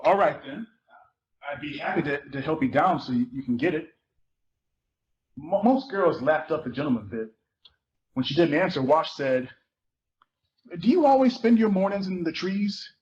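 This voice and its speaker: A man's voice: a little high, expressive, moderate pace, long pauses, darkish tone. A man giving a dramatic reading of a novel, narrating and acting out its dialogue. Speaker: theater performer reading a book excerpt aloud. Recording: muffled live recording with faint noise.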